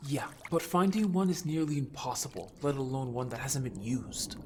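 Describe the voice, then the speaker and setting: A man's voice: mid-range voice, normal speed, noisy background, natural voice. A man playing a youthful, eager but evasive merman in an audio story, talking in a casual, informal way. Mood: glum, explanatory. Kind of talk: audio drama roleplay.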